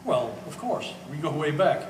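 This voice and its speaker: In a reverberant hall, a bit far from the mic, a man acts a reasonable, easygoing go-between on stage. He sounds conversational and persuasive, in a slightly high male voice. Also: sing-song, normal speed. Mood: earnest. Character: reasonable, easygoing man brokering a family dispute. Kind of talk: live stage play acting. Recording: echoey hall, distant mic, faint noise.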